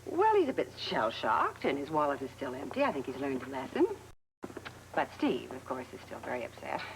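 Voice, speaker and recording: A woman's voice: rumbling low, big pitch swings, brisk, exaggerated voice. An actress playing a concerned, sympathetic woman in a television soap opera, speaking in an earnest conversational way. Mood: lightly amused. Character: concerned, sympathetic woman chatting about family troubles. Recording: clearly noisy television soundtrack.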